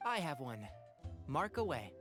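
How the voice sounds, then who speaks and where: A man's voice: a little high, big pitch swings, a little slow, a bit bright. A man voicing a wry, confident young travelling companion in a video game. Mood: easygoing. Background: game music.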